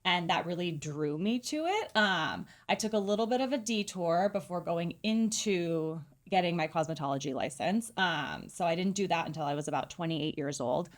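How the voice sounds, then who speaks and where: A middle-aged woman from California recounts her path into hairdressing, her voice sitting a little lower than most. She talks in an easygoing, rambling way, with plenty of "um"s and soft giggles. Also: normal speed, hardly any pauses. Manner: relaxed, chatty, many fillers and giggles. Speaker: hairdresser guest. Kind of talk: casual interview storytelling.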